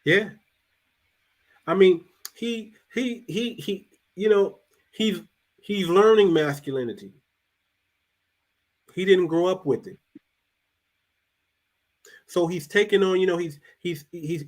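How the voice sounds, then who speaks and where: A fairly high-voiced man talks through his opinions in a loose, casual way. He restarts phrases often and lets his voice sink quiet when he drives a point home. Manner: loose and conversational, repeats and restarts words, drops pitch and volume for emphasis. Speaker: podcast host.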